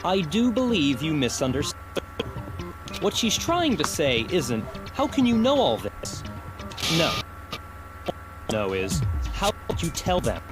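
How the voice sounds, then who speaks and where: A man's voice: a little high, big pitch swings, a little slow, long pauses, over music, poor audio. An actor playing a cold, haughty young male student in an English game dub, condescending and accusatory.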